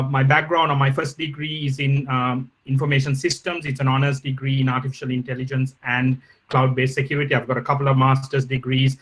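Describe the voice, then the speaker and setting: Sri male guest professor, video-call lecture, mid pitch, polite and formal. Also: fairly bright tone, rough recording. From Sri Lanka. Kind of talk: online lecture.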